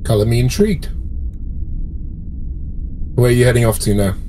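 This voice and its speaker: A man's voice: medium pitch, lively intonation, normal speed, dark tone, slight background noise, close to an everyday voice. A man playing an easygoing, slightly scatterbrained science officer in a tabletop game, talking in a dry, casual way. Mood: wry, distracted.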